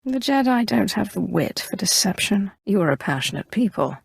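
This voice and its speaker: A woman voicing an imperious, cunning young Sith sorceress in a video game, with a haughty, deliberate, theatrical delivery. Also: mid-range voice, very animated, gravelly, husky, dry recording, studio quality, heavily acted. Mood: haughty, commanding.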